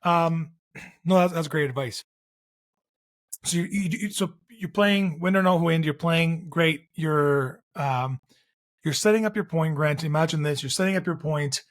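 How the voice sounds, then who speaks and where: A man's voice: on the high side, measured pace. A man from Canada hosting a tennis podcast, chatting casually and telling stories with frequent restarts. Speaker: podcast host. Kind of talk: casual interview chat.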